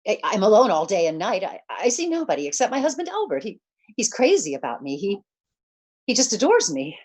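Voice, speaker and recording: An actress playing a nervous, demanding invalid wife in 1940s radio suspense style, with a fretful, insistent, stammering delivery. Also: female voice, mid-range voice, wildly swooping pitch, fairly quick, a little mellow, husky. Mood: uneasy, pleading.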